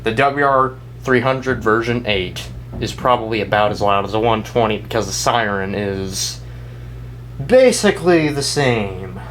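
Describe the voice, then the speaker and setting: A man's voice: on the low side, fairly bright tone. Rambling man hosting over light background noise. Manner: rambling and halting, trails off mid-thought. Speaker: video host and weather radio collector. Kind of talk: casual show-and-tell commentary.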